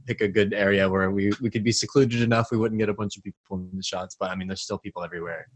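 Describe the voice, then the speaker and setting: A man's voice: lowish voice, fairly even intonation, fast talker, slightly rough, dry recording. A young American man chatting casually as an interview guest, laid-back and self-deprecating. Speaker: band member, interview guest. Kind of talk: casual chat.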